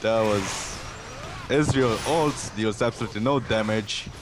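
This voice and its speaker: A man's voice: fairly low pitch, measured pace. A man co-commentating a video game casually, with a dry, sarcastic way of talking. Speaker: gaming co-commentator.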